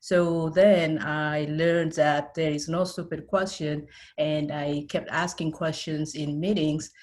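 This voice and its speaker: A woman's voice: deep voice, steady tone, slow, darkish tone. A middle-aged woman with an Ethiopian accent, giving a personal account as an interview guest. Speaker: interview guest, IT specialist and career mentor.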